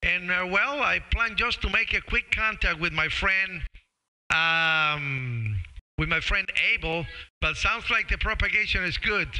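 A middle-aged man chats casually as a ham radio operator on a live stream, friendly and halting, into a close studio microphone. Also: sits high, pushed and bright, rough recording. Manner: friendly, halting, calls listeners brother. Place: small home radio room. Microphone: boom-arm studio microphone with headset.

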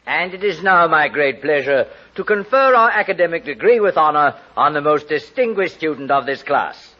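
A man's voice: on the high side, animated, normal speed. An actor playing a proud, fatherly university head, ceremonious and affectionate, in 1940s radio style. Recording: muffled, noisy vintage radio recording.

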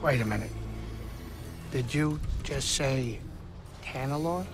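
A man playing an excitable, grumbling pilot in a video game cutscene, talking in an exasperated, wary manner. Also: mid-range voice, slow pace. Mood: curious, wry. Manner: exasperated, animated. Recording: music behind the voice.

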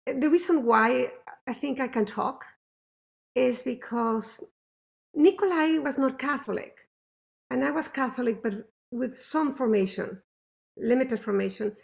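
Woman guest, thick non-native accent, earnest and halting, gravelly edge. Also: on the high side. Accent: non-native English accent. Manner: earnest, halting, restarts often. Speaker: homeschooling parent guest. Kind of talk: candid conversational interview.

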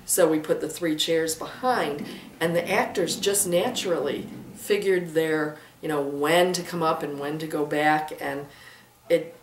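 Woman in her fifties, low voice, casual interviewee, conversational, roomy recording, faint noise. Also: unhurried, recorded from a distance. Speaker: theatre director, interviewee. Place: office with bookshelves.

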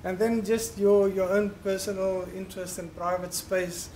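A man in his fifties introducing a speaker in a large lecture hall, speaking warmly into a podium microphone and often hesitating with uh and um. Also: sits high, flat delivery, slight background noise. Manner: warm, halting with uh and um. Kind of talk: formal ceremonial introduction.

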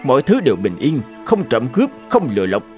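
Proud stern emperor for a cartoon, imperious, pitch moves a lot, music under it. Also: male voice, huge pitch swings, fairly quick, warm tone. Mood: puzzled, commanding. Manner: imperious, declamatory. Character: proud, stern male conquering emperor, commanding and curious. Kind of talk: dubbed cartoon dialogue.

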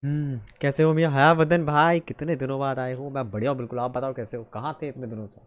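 A man's voice: medium pitch, steady tone, fast, no echo, highs cut off. A man with an Indian accent chatting casually and commentating as he streams a game live.

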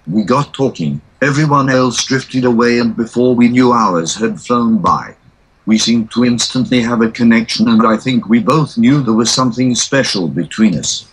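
A man's voice: mid-range voice, normal speed, breathy edge, low-quality recording. A man from South Africa answering interview questions through a text-to-speech voice with a British accent, which sounds the same no matter how he feels. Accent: British English (synthetic voice). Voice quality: monotone synthetic speech that cannot convey emotion. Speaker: interview guest.

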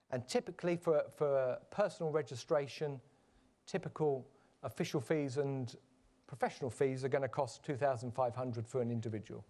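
A man giving a measured, explanatory conference presentation, with frequent fillers and restarts. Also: mid-range voice, a little mellow. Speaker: conference panel speaker. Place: conference stage.

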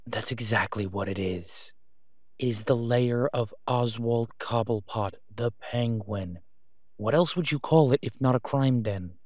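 A man's voice: mid-range voice, no room sound, close to an everyday voice. Exasperated vigilante acted by a man, close and dry. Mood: exasperated, warning. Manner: urgent, pleading, emphatic with pauses. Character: exasperated, protective masked male vigilante on patrol. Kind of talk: one-sided phone-call audio roleplay.

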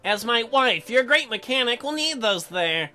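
A man voicing an earnest, halting young farmer in love in a visual novel. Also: extremely high pitch, expressive, pushed and bright, soft background music, heavily acted. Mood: earnest pleading. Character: earnest young farm man, dreamy lover.

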